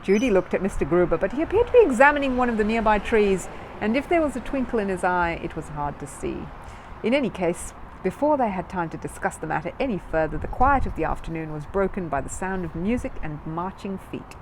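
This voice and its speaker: A woman reading a children's book aloud as scripted narration, in an expressive storyteller's manner. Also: mid-range voice, fairly quick, slight background noise, low-quality recording.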